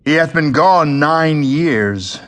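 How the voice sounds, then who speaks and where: A man's voice: fairly high pitch, lively intonation, takes it slowly, a bit bright. An actor playing a jovial, bawdy older nobleman with a courtly, expansive manner in Shakespearean audio drama. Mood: bawdy pride.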